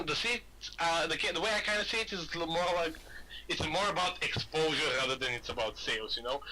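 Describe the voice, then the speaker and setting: A man's voice: on the high side, pushed and bright, slight background noise, rough recording. A man from Bulgaria chatting casually on a gaming podcast, rambling and often repeating his words. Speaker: podcast host.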